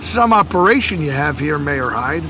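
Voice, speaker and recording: A man playing a wary young man in an audio drama, gravelly and terse, probing for answers. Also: a little high, sing-song, normal speed, noisy background. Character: nosy, wary young man questioning a gangster.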